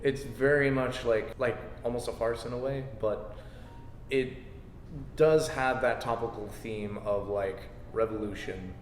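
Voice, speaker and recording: A man's voice: mid-range voice, steady tone, unhurried, slight background noise. A man giving casual interview answers as the director of a play. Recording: reverberant echoey hall, faint music.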